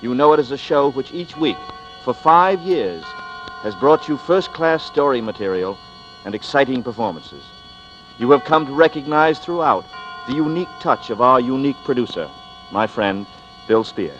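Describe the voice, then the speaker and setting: A man hosting a radio drama, giving a measured, conversational scripted introduction. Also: mid-range voice, lively intonation, fairly bright tone, faint music behind. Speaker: host and star. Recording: dull vintage radio recording.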